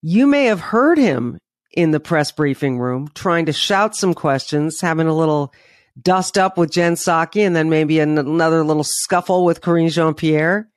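A woman hosting a podcast interview, chatty and warm in manner, restarting her questions as she goes. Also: deep voice, normal speed, no echo, clean studio sound. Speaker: podcast host.

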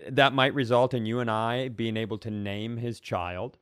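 Clear-voiced man telling comic stories. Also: mid-range voice, steady tone, normal speed, fairly bright tone, no echo, studio quality. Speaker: comedy podcast host. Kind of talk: casual comic storytelling.

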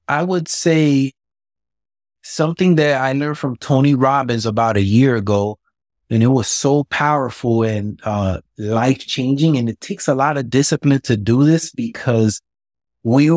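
A man's voice: mid-range voice, no room sound, clean studio sound. A man from Miami, a businessman and former boxer, answering interview questions candidly with personal examples. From Miami, USA. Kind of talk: conversational interview.

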